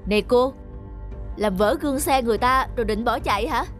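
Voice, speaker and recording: A woman's voice: a little high, brisk, faint music behind, close to an everyday voice. A woman voicing a stern but kind-hearted young businessman in a cartoon, speaking in a firm, lecturing way. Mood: indignant. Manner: firm, lecturing, matter-of-fact. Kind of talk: cartoon voice acting.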